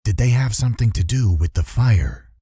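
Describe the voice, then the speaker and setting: A man's voice: fairly low pitch, animated, normal speed, warm tone, breathy edge, no echo, clean studio sound. A man narrating a children's fantasy audiobook in a suspenseful, theatrical style.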